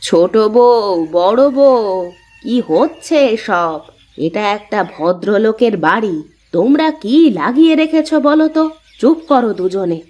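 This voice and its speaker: A woman's voice: on the high side, expressive, a little slow, a little mellow. A woman voicing a weary elderly village mother-in-law in a cartoon, plaintive and reproachful. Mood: scolding. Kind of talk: Bengali cartoon dialogue acting.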